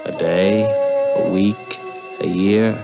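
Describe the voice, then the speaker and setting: Over a muffled old radio recording with music, a scheming young American man. His voice is low and he tells his own story like a dramatic confession in a vintage suspense play. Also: steady tone, takes it slowly. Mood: dazed, grim. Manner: dramatic first-person storytelling. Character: scheming young male swindler telling his own fatal misadventure. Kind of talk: 1960s radio suspense drama acting, first-person narration.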